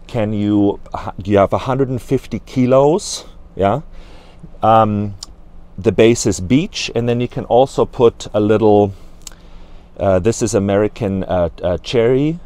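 Man with a low, mellow voice. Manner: hesitant with many fillers, explaining while moving around a van. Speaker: company representative at a trade fair stand. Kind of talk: product walkthrough. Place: large exhibition hall, inside a camper van. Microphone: lapel mic.

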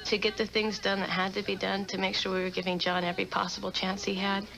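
A woman's voice: mid-range voice, completely flat delivery, fast, gravelly, low-quality recording. A young woman with an American accent telling a personal recollection in an interview.

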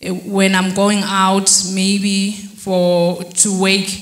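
Slow, hesitant young woman in a roomy hall. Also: medium pitch, level tone. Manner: halting, hesitant, many fillers and restarts. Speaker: church member giving testimony. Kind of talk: testimony interview. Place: church hall backdrop, indoors. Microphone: handheld mic held by interviewer.

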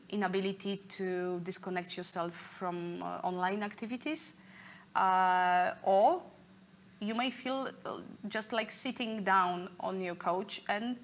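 A middle-aged woman giving an earnest explanatory talk, often pausing to search for words. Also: lowish voice, creaky, a little hiss or hum. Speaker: speaker leading an online talk. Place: indoor room, office-like.

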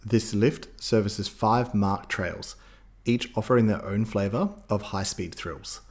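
Lowish-voiced man narrating. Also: measured pace, a little mellow. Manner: upbeat, guiding listeners step by step. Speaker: ski guide narrator. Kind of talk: scripted voice-over narration.

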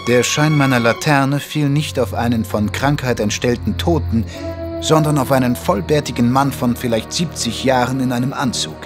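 An actor playing a Victorian gentleman doctor who narrates his detective friend's case in measured, literary audio drama style. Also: medium pitch, lightly acted. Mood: measured storytelling. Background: music under the voice.

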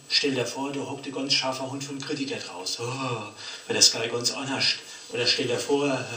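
A man's voice: mid-range voice, sharp tone. A man giving a colloquial, rambling comic monologue with tag questions, played from a cassette recorder. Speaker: poetry slam performer. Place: cassette playback in a cinema hall, picked up from the audience. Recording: distant, echoey, faintly noisy cassette playback in hall.

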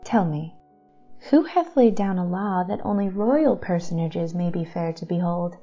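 A regal, forthright princess from a storybook audio drama, acted by a woman, lonely and speaking in old-fashioned phrases. Her breathy voice sounds a little muffled, with soft music behind it. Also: on the low side, expressive, measured pace. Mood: admiring. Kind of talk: audio drama acting in archaic storybook English.

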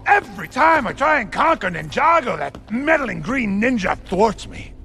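An actor playing a vain, volatile cartoon villain warlord, sarcastic and swinging from casual chat to outrage. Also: male voice, fairly low pitch, sing-song, quick pace, gravelly. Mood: exasperated, outraged. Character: theatrical four-armed male villain warlord, vain and volatile. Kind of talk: comedic animated film acting.

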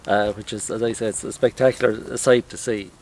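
A middle-aged man from Ireland with an Irish Midlands accent, a farmer talking in a casual interview, halting with many restarts and fillers. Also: on the low side, steady tone, a little mellow, a little hiss or hum. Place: outdoors in a field, then inside a farm shed.

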